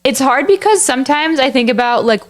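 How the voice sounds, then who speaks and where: A woman's voice: sits high, animated, brisk. Young woman, podcast co-host, loose casual delivery, constant fillers and restarts. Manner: filler-heavy, frequent "like", restarts mid-sentence. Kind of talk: casual chat.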